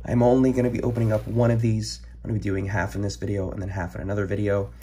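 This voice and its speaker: A hoarse young man, recovering from an illness, chatting casually as he opens trading card packs at his desk, rambling with ums and throat clearing. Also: lowish voice, flat delivery, racing, warm tone. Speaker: card-collecting channel host. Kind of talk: casual pack-opening chat. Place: home room, filmed over a desk.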